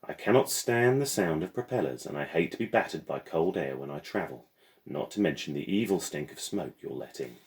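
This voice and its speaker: A man's voice: extremely low pitch, expressive, brisk. A man voicing a haughty, strict French woman guardian in a storybook, imperious and disapproving. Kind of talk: storybook character voice.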